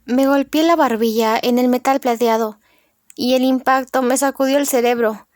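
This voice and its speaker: A woman narrates a first-person novel as an audiobook. Her voice sits somewhat high. The recording is dry and close, with little room sound. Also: fairly even intonation, moderate pace, a little mellow, no echo.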